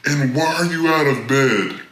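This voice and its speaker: Man playing a stern, slow-spoken domineering father. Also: a little high, a bit bright. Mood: scornful, scolding. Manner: harsh, commanding, slow and deliberate. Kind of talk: storybook character voice. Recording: echoey room.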